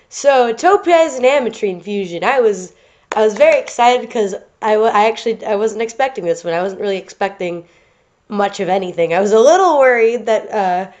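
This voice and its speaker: A woman's voice: mid-range voice, darkish tone. A woman with a stuffy nose talking casually and rambling over a drawing video, with lots of fillers and restarts. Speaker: art channel host. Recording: slightly dull lo-fi home recording.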